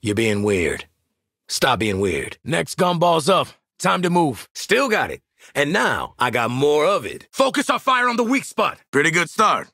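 An actor playing a tough, cocky American hitman in a video game, with a gravelly, husky voice and a clipped, sardonic, commanding delivery. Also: fairly high pitch, sing-song, a little slow, pushed and bright, dry recording, studio quality, exaggerated voice. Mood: urgent, shouting. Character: tough, cocky, no-nonsense hitman and squad soldier. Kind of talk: video game combat barks and banter.

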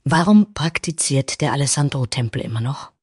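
Man voicing a calm, higher-pitched warrior woman. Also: normal speed, slightly rough, dry recording, clean studio sound. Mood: earnest. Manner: soothing, earnest, direct. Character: calm, sensible female warrior adventurer.

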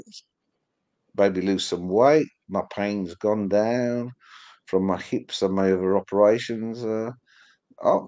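A man's voice: on the low side, darkish tone. A middle-aged man whose first language was Polish, chatting casually on a video call and rambling with lots of "you know". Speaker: livestream co-host. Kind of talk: casual chat.

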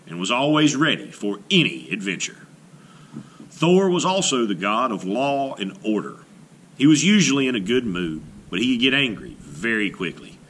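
Casting: male children's storyteller, theatrical, forceful, very varied pitch, unhurried. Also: slight background noise. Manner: expressive, theatrical, deliberate. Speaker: read-aloud storyteller. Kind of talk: dramatic children's storybook narration.

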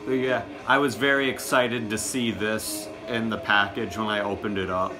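A man's voice: lowish voice, fairly even intonation, moderate pace, music in the background, poor audio. A young man in his teens or early twenties doing a casual show-and-tell to camera, recorded at arm's length in a small room at home. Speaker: music collection vlogger.

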